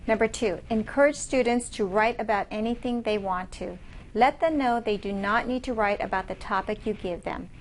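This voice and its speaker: A woman professor giving a recorded lecture over slides, reading points aloud in a measured, explanatory way. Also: a little high.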